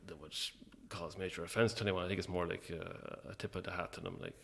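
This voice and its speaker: Deep, gravelly Irish man chatting. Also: quick pace, a bit bright, a little hiss or hum. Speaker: playwright interviewed as guest. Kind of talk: casual interview chat.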